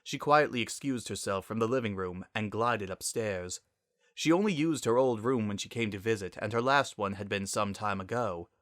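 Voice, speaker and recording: A man's voice: fairly low pitch, moderate pace, a bit bright, no room sound, studio quality. A man reading a story aloud as narrator in a dramatic style.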